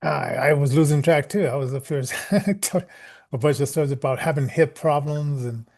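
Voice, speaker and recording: A middle-aged or older man rambling casually as an online radio host, halting and repeating words, often chuckling. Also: a little high, darkish tone. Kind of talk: casual rambling livestream talk.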